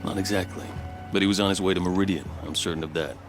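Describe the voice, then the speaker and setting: A man playing a composed, devout and loyal fort officer in a video game, speaking in a measured, formal way. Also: low-pitched, fairly even intonation, lightly acted. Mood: formal, helpful. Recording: music behind the dialogue. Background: music.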